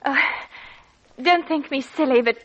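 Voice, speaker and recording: An actress playing a polite, nervous dancer with a genteel, hesitant, pleading manner in an old radio drama. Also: female voice, sits high, a little slow. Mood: nervous, wondering. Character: polite, nervous lady dancer, easily frightened. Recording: muffled vintage radio recording, faint noise.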